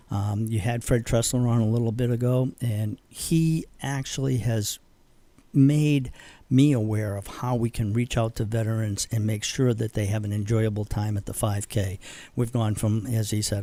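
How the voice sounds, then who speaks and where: Earnest older man with a mellow voice. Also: fairly low pitch. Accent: Boston. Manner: earnest, heartfelt, with fillers and restarts. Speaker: veterans organization leader. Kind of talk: radio interview guest.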